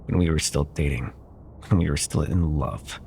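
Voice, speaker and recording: A man playing a smug, possessive corporate CEO and jilted ex-lover in an intimate ASMR roleplay, with silky condescension and pointed pauses. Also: rumbling low, vocal fry, warm tone, slight background noise. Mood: wounded, taunting.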